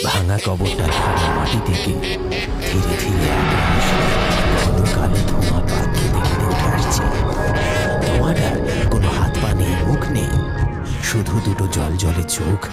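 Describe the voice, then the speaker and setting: A man narrating a horror story in a dramatic, scripted storytelling style. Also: low voice. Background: loud music.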